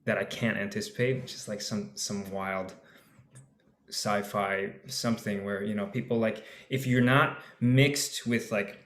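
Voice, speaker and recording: A man with a fairly low voice, musing his way through ideas on a casual panel. He strings thoughts together with lots of fillers and lets his sentences fade out. His voice bounces around an echoey room, as if he is on a video call from home. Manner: rambling, thinking aloud with many fillers, trailing off softly. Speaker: panel guest, actor.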